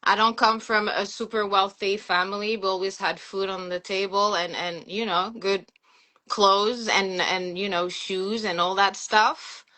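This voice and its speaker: French woman in her forties, bright tone, relaxed chatty interview guest. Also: mid-range voice, a little slow. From France. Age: 40s. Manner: relaxed and chatty, many fillers. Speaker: guest, sneaker collector and teacher. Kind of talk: casual interview.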